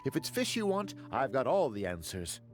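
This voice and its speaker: A friendly stallholder selling fish in an anime game. His mid-pitched voice swings with lively, folksy salesmanship. Music is clearly audible underneath. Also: normal speed. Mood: affable. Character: friendly, eager fishmonger at a market stall. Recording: music behind the voice.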